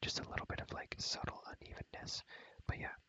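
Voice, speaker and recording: Whispering man in rambling ASMR. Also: brisk. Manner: rambling, repeats phrases over and over. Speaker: video creator showing a Minecraft world. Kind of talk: whispered ASMR gaming tour.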